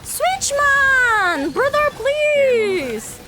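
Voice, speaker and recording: Very high, animated woman streaming a game. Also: loud music behind the voice. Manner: excitable, giggly, repeats phrases rapidly. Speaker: video game livestreamer. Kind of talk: casual live gaming commentary.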